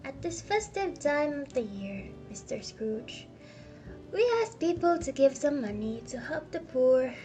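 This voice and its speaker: A woman voicing a polite, portly gentleman charity collector in a storybook, courteous and formal. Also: high-pitched, lively intonation, a little slow, faint music behind.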